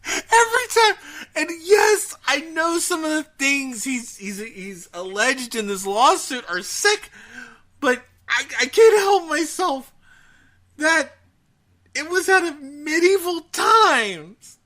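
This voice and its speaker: A man chatting casually as a podcast host, ranting in an animated, profane way. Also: very high-pitched, takes it slowly. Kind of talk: casual chat about gaming news.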